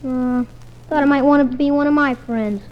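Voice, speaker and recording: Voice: fairly even intonation, moderate pace, slight background noise, lightly acted. An actor playing an eager, imaginative young boy with slangy exclamations in an old radio comedy-drama, on a muffled recording. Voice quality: high, youthful voice. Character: eager, imaginative young boy sick in bed, anxious schemer.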